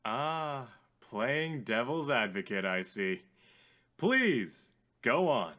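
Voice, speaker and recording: A man playing a courteous, theatrical radio call-in host with formal, drawn-out greetings in a radio drama. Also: mid-range voice, very animated, pushed and bright, heavily acted. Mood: thoughtful.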